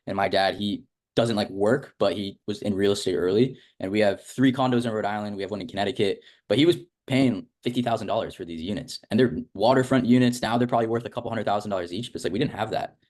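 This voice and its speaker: A young man hosts a casual interview. His voice sits a little low and he talks very quickly, rambling informally and leaning on filler words as he goes. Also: a little mellow. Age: young adult. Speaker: host interviewing a student of a business academy.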